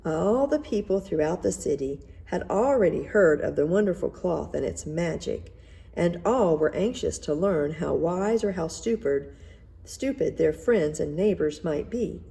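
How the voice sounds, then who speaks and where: A woman reading a children's story aloud in an expressive, measured narrating style. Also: on the low side, gravelly. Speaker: storybook reader.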